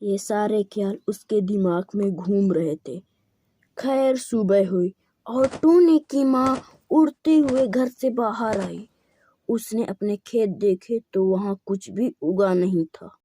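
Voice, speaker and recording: A woman's voice: medium pitch, slow, mellow, a little hiss or hum. A woman narrating a scripted children's moral story.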